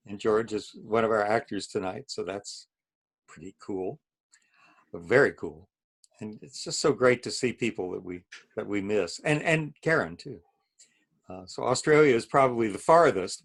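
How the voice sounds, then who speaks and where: A man with an easygoing, plain-spoken manner, informally introducing an evening of play readings as its host over a video call. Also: mid-range voice.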